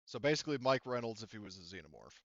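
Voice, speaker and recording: A man casually narrating a tabletop game as game master, explaining things in a halting way. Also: lowish voice, very animated, no room sound, muffled recording.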